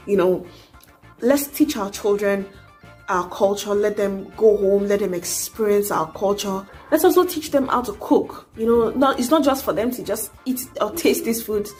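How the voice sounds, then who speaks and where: A woman's voice: medium pitch, darkish tone. A young adult woman, talking earnestly to camera in a casual advice vlog, urging and coaxing with many asides. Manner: earnest, exhorting, frequent "you know". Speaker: vlogger.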